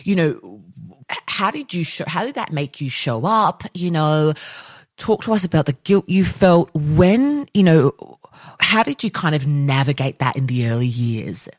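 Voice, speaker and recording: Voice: mid-range voice, animated, unhurried, no echo, studio quality. A podcast host asking questions in a casual interview, enthusiastic and affirming.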